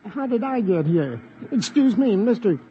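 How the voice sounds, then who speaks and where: An actor playing a timid, fussy, frightened little tailor in a 1950s radio drama, fretting and asking anxious questions. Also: male voice, sits high, very animated, moderate pace. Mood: flustered. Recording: muffled 1950s radio recording, hissy.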